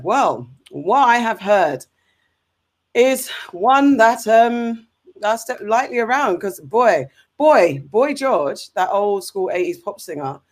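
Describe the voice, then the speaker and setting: A woman with an English accent, hosting a casual discussion show, talking in an animated, rambling way with fillers and restarts. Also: medium pitch. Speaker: podcast host.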